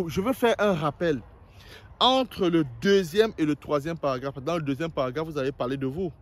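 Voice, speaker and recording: Hesitant adviser, man. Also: a little high. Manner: explanatory, addresses viewers directly, frequent hesitations and restarts; acts out example sentences in the first person. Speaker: visa application adviser. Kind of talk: spoken tutorial on writing a motivation letter.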